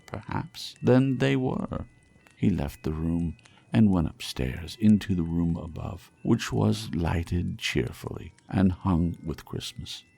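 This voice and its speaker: Slow, gravelly male storyteller. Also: sits low, a little mellow. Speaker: audiobook reader. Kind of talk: dramatic scripted storytelling.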